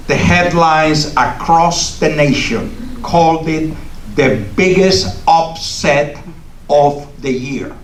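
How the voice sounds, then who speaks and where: A man's voice: a little high, slight background noise. Older male guest speaker, Cuban Spanish accent, native Spanish, emphatic speech style, distant and roomy. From Cuba. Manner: emphatic, preacherly, builds with repetition. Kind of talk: political speech. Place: meeting hall of a congregation. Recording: echoey hall from far off.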